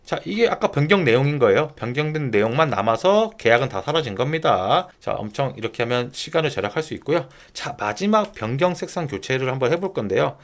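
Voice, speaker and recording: A man narrating a software tutorial step by step in informal polite speech, often posing a question and then answering it himself. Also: mid-range voice, sing-song, fairly quick, hardly any pauses. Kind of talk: screen-recording tutorial narration, explaining step by step.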